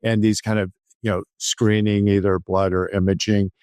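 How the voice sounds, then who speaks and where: A man's voice: on the low side, flat delivery, moderate pace, darkish tone, clear, resonant tone, no echo. A man hosting a medical podcast, adding thoughtful points in an affirming, casual manner with fillers. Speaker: podcast host. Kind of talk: conversational interview.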